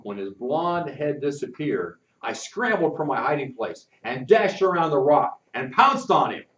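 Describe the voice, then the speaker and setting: Older storyteller, folksy, lively pitch, recorded from afar in an echoey classroom with a muffled sound. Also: male voice, unhurried, slight background noise. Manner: folksy first-person narration. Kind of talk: reading a children's book aloud. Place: classroom with posters, indoors. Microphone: far camera. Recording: roomy and distant, muffled.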